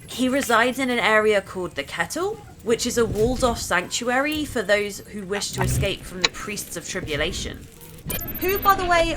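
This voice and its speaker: A woman narrating a gameplay video as a developer, scripted with wry, playful asides, over loud game music. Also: a little high, noisy background.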